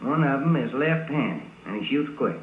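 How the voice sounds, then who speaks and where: An American actor playing an earnest, plain-spoken police detective in a 1940s radio drama, talking in a folksy, informal way. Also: male voice, mid-range voice, lively intonation, normal speed, natural voice. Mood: earnest, concerned. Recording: echoey, tinny old radio, faint noise.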